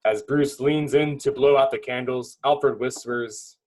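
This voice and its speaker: A man reading stage directions as narrator at a table read, in dramatic, clipped phrases with pauses. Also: mid-range voice, fairly even intonation, darkish tone, rough recording.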